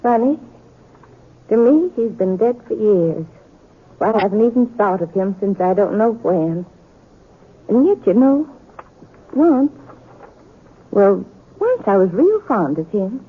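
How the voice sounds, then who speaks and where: An actress playing a wistful wife in a western radio drama, with a husky voice. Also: female voice, mid-range voice, sing-song, slow, pauses often, exaggerated voice. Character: wistful western wife remembering a man she loved. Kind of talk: old-time radio drama acting. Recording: tinny, phone-like radio recording.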